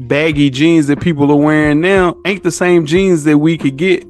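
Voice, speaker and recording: A man's voice: on the high side, steady tone, normal speed, darkish tone, faint music behind. A man in his thirties with a Southern US accent, chatting casually and telling stories in an emphatic, slangy way. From southern United States. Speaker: podcast guest. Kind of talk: casual chat.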